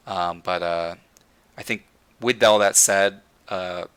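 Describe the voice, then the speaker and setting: Bright-voiced young man, passionate stream host. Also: low-pitched, slow, smooth. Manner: passionate, repeats words while thinking. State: losing his voice. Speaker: livestream host. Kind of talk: casual gaming rant to chat.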